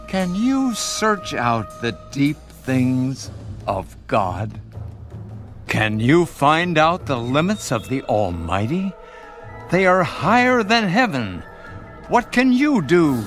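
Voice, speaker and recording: An actor playing a stern, self-righteous man rebuking his friend in a deliberate, rhetorical way in a dramatised scripture reading. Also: a little high, fairly bright tone, full character voice.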